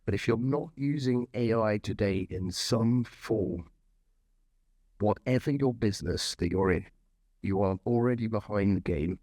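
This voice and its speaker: A man's voice: fairly low pitch, darkish tone, dry recording. A man speaking as a panelist who urges the audience in a persuasive way. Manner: urging, persuasive.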